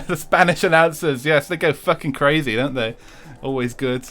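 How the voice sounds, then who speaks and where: A man's voice: on the high side, moderate pace, fairly bright tone, soft background music. A young English man chatting casually with his viewers while streaming a racing game, swearing freely and laughing often.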